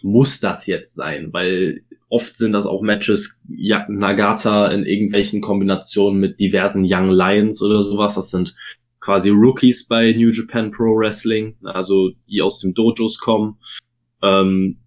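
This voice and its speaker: A man with a German accent and a fairly low voice co-hosts a relaxed wrestling podcast. He talks in an opinionated way, stopping often for fillers as he weighs each point. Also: unhurried. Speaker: podcast co-host. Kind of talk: casual wrestling podcast chat.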